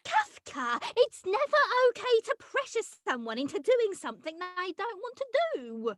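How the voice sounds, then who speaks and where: A woman voicing a prim, patient cartoon bug who tells stories in a measured, dramatic way. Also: very high voice, sing-song, fairly bright tone, dry recording, studio quality, heavily acted. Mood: reproving. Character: prim, patient bug storyteller with a slimy tail. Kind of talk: animation table read.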